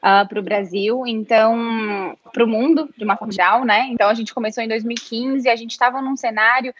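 A woman's voice: fairly high pitch, steady tone, moderate pace. A woman from Minas Gerais with a Mineiro accent, a guest on a podcast, friendly and a little nervous, with many fillers. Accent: Mineiro Brazilian Portuguese. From Minas Gerais, Brazil. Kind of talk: casual interview. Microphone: home office setup. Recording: dull, dry lo-fi audio.

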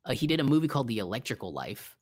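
A man co-hosting a podcast, chatting casually about films in an excitable, slangy way. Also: medium pitch, racing, husky. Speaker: podcast co-host. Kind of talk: casual film chat.

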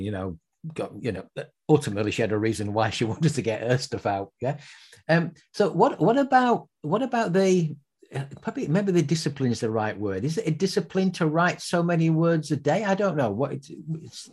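A man's voice: medium pitch, animated, brisk, a little mellow, slightly rough. An older man hosting a podcast interview, chatty and anecdotal, often restarting and repeating words. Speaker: podcast host.

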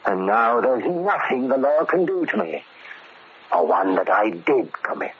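An actor playing a vengeful, cunning ex-convict barber in 1940s radio drama, speaking with deliberate, menacing politeness. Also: male voice, mid-range voice, sing-song. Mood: smug, cold. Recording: scratchy, narrow-band old radio recording.

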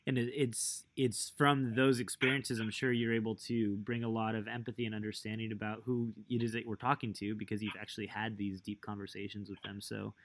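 A man's voice: fairly low pitch, flat delivery, a little fast, few pauses. A man hosting an interview, asking thoughtful questions in a hesitant, reflective way. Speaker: podcast host. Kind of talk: casual interview conversation.

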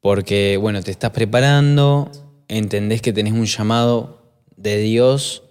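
A man's voice: on the low side, fairly even intonation, unhurried, a little mellow, smooth. A young man with an Argentine accent co-hosts a podcast. He talks in a casual, conversational way over faint background music. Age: 20s. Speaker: podcast co-host. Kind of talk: casual chat, interviewing.

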